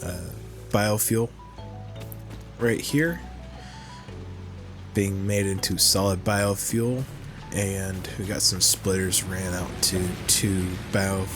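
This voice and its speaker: A man's voice: sits low, steady tone, takes it slowly, pauses often, over music. A man hosting a casual gameplay commentary, sounding nasal from a cold and thinking aloud with many fillers. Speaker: gaming channel host.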